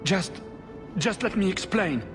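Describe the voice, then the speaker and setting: A man's voice: sits high, lively intonation, a little slow. An actor in a video game playing a desperate, vengeful nobleman, agitated and insistent as he justifies himself. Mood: cornered, pleading. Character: desperate vengeful nobleman who dabbles in blood magic. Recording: long reverb, under game music.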